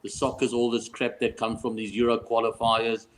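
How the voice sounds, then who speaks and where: A man's voice: medium pitch, monotone, moderate pace, a little hiss or hum. A South African man chatting casually as a betting guest, bantering and often ending on tag questions. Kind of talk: casual chat.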